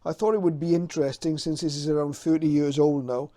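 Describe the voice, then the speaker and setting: A man's voice: on the high side, fairly even intonation, fast talker, dark tone, no room sound, clean studio sound. A middle-aged man presenting in a casual, unscripted way, with a hesitant, reflective and informative manner, as a hobbyist leafing through material.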